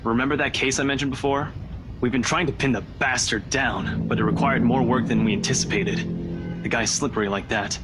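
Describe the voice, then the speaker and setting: A man's voice: medium pitch, fairly even intonation, fairly quick, over music, close to an everyday voice. A man playing a laid-back young investigator in a visual novel, casually and smugly teasing a friend. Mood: casual banter.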